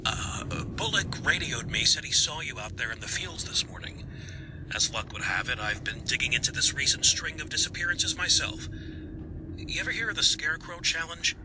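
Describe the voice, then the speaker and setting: A man's voice: on the high side, animated, normal speed, background noise, close to an everyday voice. A man playing a weary, plain-talking police commissioner in an audio drama, informal and somewhat exasperated in manner. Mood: confiding. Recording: loud music under the voice.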